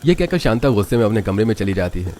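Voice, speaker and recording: A man's voice: lowish voice, big pitch swings, fast, mellow. A man narrating a scripted dramatic story, with music underneath.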